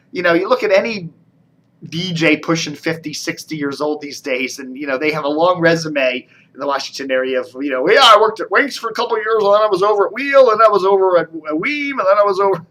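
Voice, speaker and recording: American male vlogger, slightly high voice, loose off-the-cuff media commentary, wry edge. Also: a bit bright, rough recording. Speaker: video blogger and media commentator. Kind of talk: casual rambling commentary.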